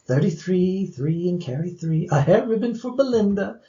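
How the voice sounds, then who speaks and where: Male actor as humble clerk, high lively voice, timid, deferential, stammering, radio play, muffled lo-fi audio. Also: moderate pace. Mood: dutiful. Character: meek, kindly, poor male clerk, humble family man. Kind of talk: family radio drama acting.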